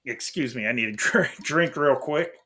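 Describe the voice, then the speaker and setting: A middle-aged man with an American accent speaks at a medium pitch. He talks off the cuff like a channel host giving an update, wandering through his thoughts with hesitations and repeated words. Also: normal speed, sharp tone. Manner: rambling, hesitant, often breaking off and repeating himself. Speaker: host of an old-time radio channel. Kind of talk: casual unscripted channel update.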